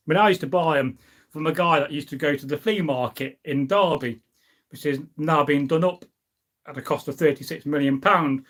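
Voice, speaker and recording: A middle-aged man hosts a casual live stream. His voice sits a little high for a man. He talks in an informal, wandering, anecdotal way, as if chatting with his viewers. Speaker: live stream host, history enthusiast. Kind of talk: casual rambling chat, reading out and answering viewer comments.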